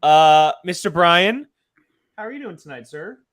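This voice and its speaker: A man's voice: high voice, expressive, measured pace, fairly bright tone. A man hosting a casual live group chat, with a teasing, playfully exasperated way of talking. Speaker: host.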